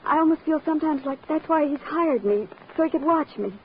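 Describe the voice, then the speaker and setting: A woman's voice: sits high, brisk, lightly acted. An actress playing an anxious, earnest young woman in an old radio drama, melodramatic and breathless. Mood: anxious, distressed. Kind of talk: 1940s-50s radio drama acting. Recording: muffled, noisy old radio recording.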